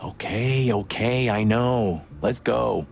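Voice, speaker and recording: A man's voice: mid-range voice, slow pace, creaky. An actor playing a playful, joking male bird cop in a video game. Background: game music.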